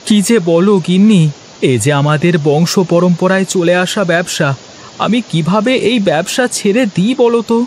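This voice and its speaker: A man playing a humble, hard-up village potter in a moral-story cartoon, with a folksy, lamenting and expressive way of talking. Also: high voice, moderate pace, a little hiss or hum, lightly acted. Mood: pleading.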